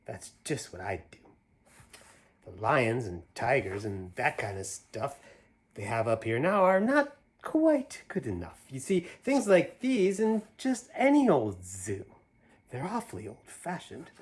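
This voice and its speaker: Man playing an eager, boastful boy, swooping pitch. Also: measured pace, slightly rough. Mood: dissatisfied, musing. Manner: excited, boastful, sing-song rhyming declamation. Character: eager young boy dreaming up his own zoo.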